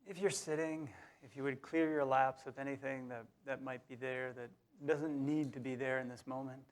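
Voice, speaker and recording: A man leading a guided meditation in a soothing, unhurried way, leaving long pauses between short phrases. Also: medium pitch, steady tone. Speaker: meditation leader.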